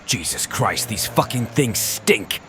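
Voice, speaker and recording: A man's voice: fairly low pitch, sing-song, takes it slowly, over loud music. A man playing a sardonic, grave military figure in a horror audio comic. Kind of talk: dramatic audio comic acting.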